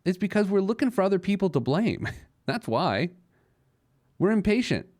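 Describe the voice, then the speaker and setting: A man's voice: high voice, big pitch swings, normal speed, darkish tone, no echo, clean studio sound. A man hosting a personal finance radio show off the cuff, emphatic and often answering his own rhetorical questions. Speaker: radio host, financial planner.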